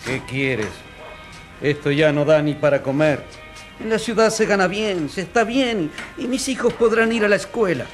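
An actor playing a poor, weary peasant who speaks plainly and with resignation in a radio drama. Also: male voice, high-pitched, animated, moderate pace. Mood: weary, resigned. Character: weary poor peasant man leaving his land. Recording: music behind the voice.

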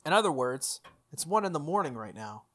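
A young adult streamer reading a visual novel aloud, with expressive delivery and casual, playful asides. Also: medium pitch, brisk, a little hiss or hum. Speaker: game streamer. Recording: music playing under the voice. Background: background music.

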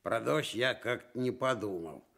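A man's voice: medium pitch, gravelly, fairly bright tone. A man voicing a stubborn, self-important big male bear in a radio fairy tale, speaking without hurry and with evident self-satisfaction. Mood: smug, self-important. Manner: self-important, smug, unhurried.

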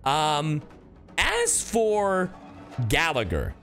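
A man's voice: on the high side, wildly swooping pitch, drawn-out words, a bit bright, soft background music. A man streaming casually to his chat, slangy and emphatic, repeating himself to drive points home. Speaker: streamer and voice actor. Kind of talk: casual livestream reaction chat.

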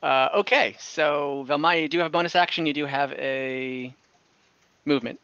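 A young man as game master, narrating a tabletop game on a video call, relaxed, with frequent fillers. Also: a little high, normal speed, smooth, dry recording. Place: home room on a video call. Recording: dull lo-fi call audio.

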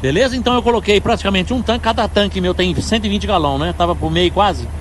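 Man, truck driver vlog, high voice, phone at arm's length, loud traffic noise outdoors. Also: quick pace. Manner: indignant, emphatic, talks to viewers as "galera". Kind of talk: casual vlog to camera. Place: outdoors at a truck stop fuel pump.

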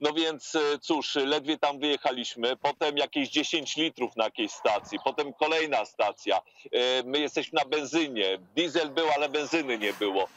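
A man in his fifties reports live from outside on a street, full of fillers and pauses. His voice comes through a video-call line that sounds dull and lo-fi, with a mid-pitched tone. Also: a bit bright, slight background noise. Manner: hesitant, many fillers and pauses. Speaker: war correspondent. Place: outdoors on a residential street with trees. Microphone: earphone headset on a video link.

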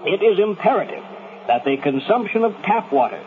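An actor playing an earnest, authoritative doctor in a 1970s radio drama, reading a warning formally and emphatically with slight stumbles. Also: male voice, high-pitched, sing-song, fairly quick. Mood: grave, emphatic. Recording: tinny, noisy 1970s radio recording.

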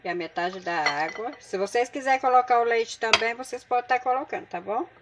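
A woman's voice: fairly high pitch, a little fast, heavy background noise. A woman with a Brazilian Portuguese accent hosting a home cooking tutorial in her kitchen, talking chattily to her viewers as she works. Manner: chatty, addresses viewers as gente. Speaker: cooking channel host.

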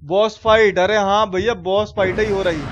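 A man with a Bihari accent giving excited, colloquial gameplay commentary as a live-streamer, repeating himself and exclaiming. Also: sits high, normal speed, highs cut off. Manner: colloquial, repetitive, exclaiming, mock-abusive. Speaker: live-streamer playing a horror game. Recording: noisy stream with game sounds behind.

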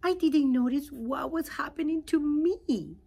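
A woman voicing an eager, naive young male pollywog in a children's storybook, excitable and exclaiming. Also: sits high, unhurried, gravelly, voice pushed up. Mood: surprised.

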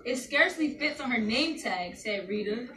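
A woman's voice: fairly high pitch, expressive, normal speed. A woman reading aloud to a class of children in a playful, directing way, with call-and-response and short repeated commands. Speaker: guest reader leading a classroom of fourth graders. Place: busy school classroom full of seated children. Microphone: phone held at back of room. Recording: echoey classroom from far off, noisy children.

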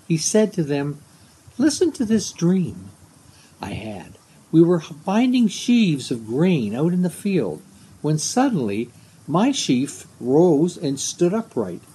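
A man in his seventies reading scripture aloud in a measured way, recorded outdoors on a device at arm's length. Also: on the high side, warm tone, breathy edge, rough recording. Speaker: lay scripture reader. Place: outdoors beside a brick house under trees.

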